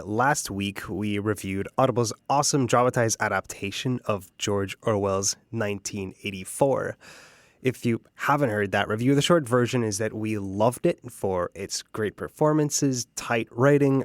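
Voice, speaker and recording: Lowish-voiced man hosting, dry close sound. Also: moderate pace, no room sound. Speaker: podcast review show host. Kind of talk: conversational hosting and interviewing.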